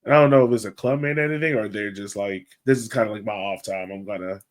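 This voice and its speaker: A man's voice: fairly low pitch, quick pace. A man hosting a sports podcast, talking in an informal, chatty, conversational way. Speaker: podcast host. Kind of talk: casual sports podcast chat.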